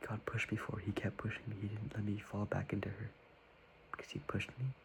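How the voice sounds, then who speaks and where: A man's voice: lowish voice, monotone, fairly quick, creaky, slight background noise. A young man giving earnest, rambling advice in a whispery voice, circling back and repeating himself.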